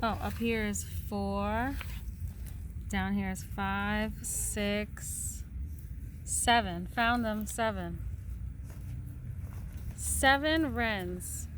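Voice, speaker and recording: A woman reading a picture book aloud to a small child in a patient, sing-song way, pausing to count and point. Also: medium pitch, slight background noise.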